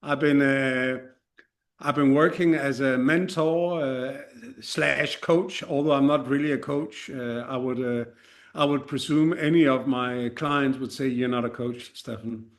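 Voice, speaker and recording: A man's voice: mid-range voice, fairly even intonation, measured pace. Danish-accented man, frank guest. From Denmark. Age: 40s. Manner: frank and self-deprecating, many fillers and restarts. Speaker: podcast guest. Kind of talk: casual interview chat.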